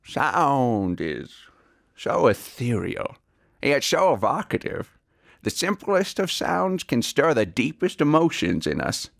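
A man's voice: mid-range voice, sing-song, slow, creaky, no echo, studio quality, heavily acted. An actor playing a genteel, self-serious veteran Hollywood sound-effects artist in a comedy mock interview, savouring his words pompously. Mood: pompous, wistful.

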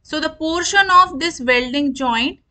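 High, bright-voiced woman lecturer. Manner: repetitive, deliberate explaining. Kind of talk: instructional lecture explaining technical terms.

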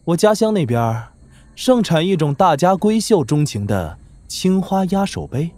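A man's voice: a little high, very animated, moderate pace, warm tone. A man playing a vain young swordsman in a Chinese wuxia audio drama, solemnly smug, with pompous, flowery phrasing. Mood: pompous, flattering.

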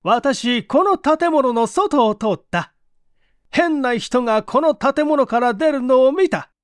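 A man voicing a sneering foreign thief who feigns innocence in halting, broken phrasing, in an audiobook story. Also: very high voice, animated, smooth, dry recording, studio quality, pitched above the performer's own voice, heavily acted. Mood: cool, evasive. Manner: halting, broken non-native Japanese phrasing.

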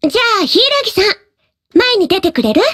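A tiny, earnest young teacher from an anime game. Her voice is extremely high and girlish, with lively swings in tone. She sounds cheerful and encouraging, and flusters easily. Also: moderate pace. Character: petite, cheerful, earnest young woman schoolteacher. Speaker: homeroom teacher. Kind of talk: anime-style game voice acting.

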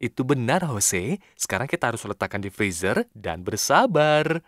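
A man's voice: medium pitch, very animated, moderate pace, fairly bright tone, dry recording. An actor playing a patient, encouraging male helper in an Indonesian children's cartoon, instructing and reassuring.

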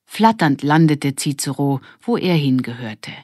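Female audiobook narrator, deep register, children's story reading, very dry recording. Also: lively intonation, measured pace, a little mellow, no echo.

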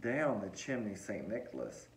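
Animated man reading a storybook aloud. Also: lowish voice, creaky, background noise. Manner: animated, sing-song verse delivery with dramatic pauses. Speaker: storybook reader for children. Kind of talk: expressive read-aloud of a Christmas verse storybook. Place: living room at home, indoors. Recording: roomy, echoing home recording.

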